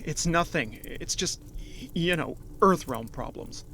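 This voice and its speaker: Male actor as awkward late-twenties office worker, bright lively voice, stammering, audio drama, faint noise behind. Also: fairly high pitch, heavily acted. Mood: weary, downcast. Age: late 20s. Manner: stammering, halting.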